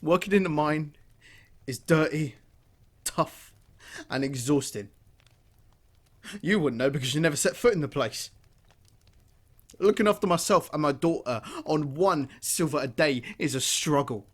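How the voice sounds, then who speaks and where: A man's voice: a little high, unhurried, long pauses. A man playing a vengeful grieving miner. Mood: bitter. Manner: bitter, seething, erupts into shouting. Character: grieving, vengeful male miner and widowed father. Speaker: mine worker. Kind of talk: audio drama acting.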